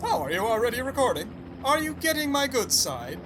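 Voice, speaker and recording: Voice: mid-range voice, sing-song, brisk, noisy background, lightly acted. An actor playing a pompous, vain squad commander in an audio drama, speaking in a grandiose, commanding way. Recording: music behind the voice.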